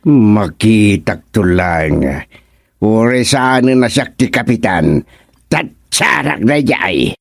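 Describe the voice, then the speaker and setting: Male actor as sarcastic Filipino village official, radio comedy; measured pace, dry close recording. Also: lowish voice, expressive, vocal fry, dry recording, full character voice. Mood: grumbling. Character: stern, sarcastic village official scolding his subordinate. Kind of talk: comedic radio drama acting.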